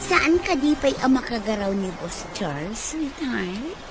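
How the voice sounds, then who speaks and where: A woman's voice: fairly high pitch, big pitch swings, measured pace, over music. A woman playing a villain's sly, coaxing sweetheart in a radio drama, full of questions. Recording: clearly noisy background.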